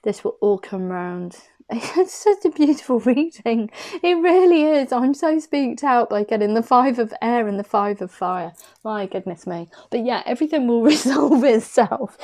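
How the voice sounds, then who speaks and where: A woman reads tarot cards in a chatty, effusive way, thinking aloud as she goes. Her voice is mellow and warm, sitting at an ordinary pitch for a woman. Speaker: tarot card reader. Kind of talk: intuitive tarot reading.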